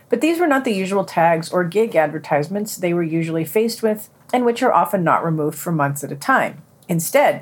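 A woman presenting a podcast, reading scripted news and quotations in a deliberate way with a husky voice. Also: on the low side, darkish tone. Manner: deliberate, announces quotations and their ends.

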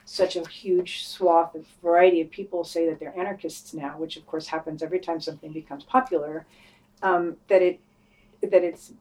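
A woman with a fairly low voice talks in a casual podcast discussion. She is opinionated and informal, speaking as a panelist who swears freely. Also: steady tone, normal speed, a little mellow, low-quality recording.

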